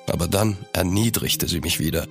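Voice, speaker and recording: An actor playing an older French marquis, a courtly, grave and measured storyteller in a German audio drama. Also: male voice, low-pitched, vocal fry, faint music behind. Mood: ominous, reminiscing.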